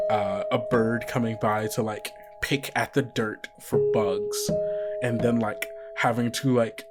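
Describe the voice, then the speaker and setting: A man running a tabletop horror game, narrating improvised scenes conversationally and often putting questions to his player. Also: medium pitch. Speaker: game master.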